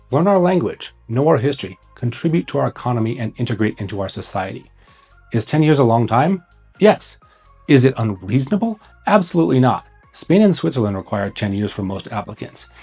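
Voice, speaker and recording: A man's voice: mid-range voice, a little mellow, slightly rough. A man presenting scripted advice to camera as a host, speaking bluntly and urgently in short, punchy, emphatic phrases. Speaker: host, immigration consultant.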